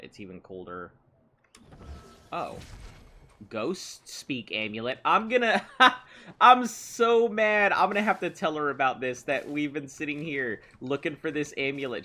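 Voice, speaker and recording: Bright-voiced man playing a game. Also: on the high side. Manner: playful, rambling, chatting with someone in the room. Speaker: let's play host. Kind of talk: casual gameplay commentary.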